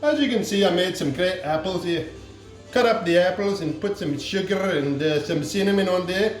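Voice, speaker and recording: A man voicing a boastful comic Irish home cook in a put-on Irish accent, rambling through a cooking demonstration with deadpan absurd asides. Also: sits high, normal speed, lightly acted. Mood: jokey. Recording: echoey room, somewhat far from mic, faint music.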